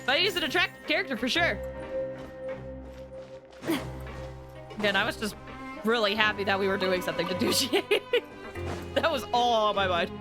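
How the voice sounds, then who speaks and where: A woman chatting casually to viewers as she hosts a game livestream, in an animated, chatty manner, sounding a little tired. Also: medium pitch, normal speed, long pauses. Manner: animated, chatty, with excited exclamations. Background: background music.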